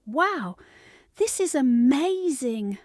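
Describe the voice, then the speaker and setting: A woman's voice: high-pitched, sing-song, very slow, no echo, clean studio sound, voice pushed up, full character voice. A woman voicing a spirited young female fairy leader in a bedtime storybook, exclaiming and commanding. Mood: amazed.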